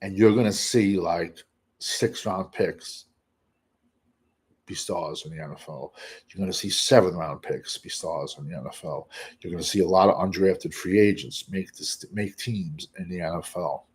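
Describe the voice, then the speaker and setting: A man hosting casual football draft talk, blunt and rambling, with what he calls a monotone voice. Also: sits low, moderate pace, slightly rough. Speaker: draft analyst host. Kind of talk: casual draft commentary to camera.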